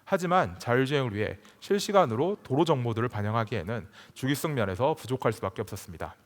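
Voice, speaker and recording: Male conference speaker, mid-pitched with lively intonation, formal scripted tech presentation, big hall reverb. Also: fast talker. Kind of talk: prepared technical presentation.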